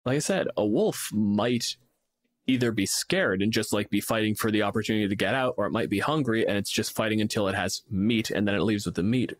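A man in a casual tabletop gaming discussion as co-host, reasoning aloud and acting out short examples. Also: on the low side. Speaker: podcast co-host and game master.

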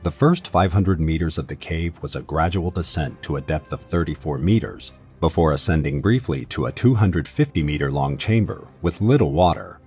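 A man narrating a scripted documentary in a measured, serious storytelling manner. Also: rumbling low, lively intonation, a little mellow, husky, faint music behind.

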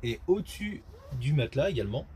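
A man's voice: mid-range voice, big pitch swings, fast talker, warm tone, a little hiss or hum. A man, giving a casual product walkthrough inside a small camper van. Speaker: camper van dealer presenting a vehicle.

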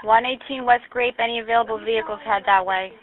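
A woman's voice: on the high side, steady tone, fast. A woman working as a police dispatcher, speaking in terse, procedural radio calls over a police radio. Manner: clipped, procedural. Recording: tinny, very noisy police radio with clicks.

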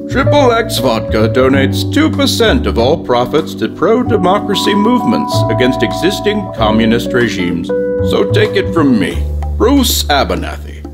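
Swooping 1950s American TV-star pitchman. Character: smarmy, folksy 1950s male TV star pitching vodka. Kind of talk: 1950s radio commercial parody.

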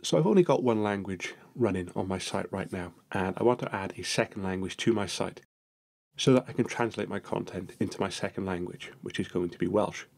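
Male tutorial narrator, lowish gravelly voice, a bit husky, steady step-by-step delivery. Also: fairly quick.

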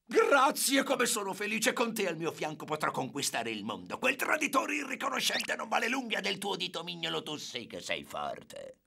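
A man voicing an excitable, fawning mad scientist bent on world conquest in a cartoon dub, with a gravelly, raspy voice and theatrical delivery. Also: sits high, moderate pace, exaggerated voice. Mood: gushing, tearful relief. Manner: theatrical, gushing outbursts. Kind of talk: Italian cartoon dub acting.

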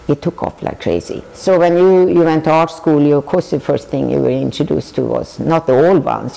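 An older woman from Sweden with a Swedish accent, speaking thoughtfully with halting restarts as she answers questions in an interview. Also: deep voice, vocal fry, warm tone, big-room sound, poor audio. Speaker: tapestry artist. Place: large weaving studio with looms.

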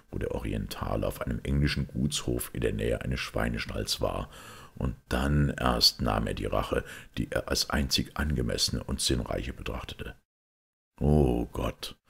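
A man with a gravelly voice reading a detective story as scripted narration. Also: rumbling low. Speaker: audiobook reader.

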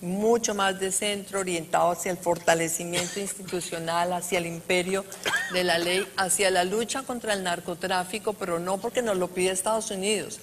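A middle-aged woman speaking earnestly on a conference panel, with pauses between phrases, into a handheld mic on stage. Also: on the low side, fairly even intonation, background noise. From Colombia. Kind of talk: panel discussion answer. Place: large conference hall stage.

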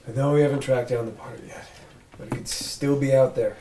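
An American man playing a gruff, sarcastic, weary police detective at a script read, curt and dismissive. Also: mid-range voice, steady tone, brisk, lightly acted. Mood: weary, irritable. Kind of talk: table read of a film script. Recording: roomy distant mic, faint noise.

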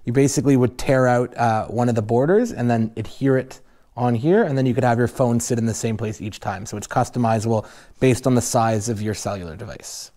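Man, young adult, mellow, mid-pitched, casual install walkthrough, garage workshop. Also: fairly quick. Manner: relaxed, plain-spoken, uses many fillers. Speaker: installer demonstrating a snowmobile accessory.

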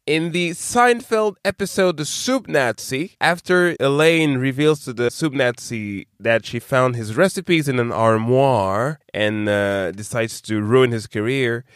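A man's voice: mid-range voice, smooth, dry recording. Man reading trivia questions, dry sound. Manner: reads questions slowly and deliberately, then chats loosely. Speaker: quizmaster. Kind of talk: reading trivia questions, casual podcast chat.

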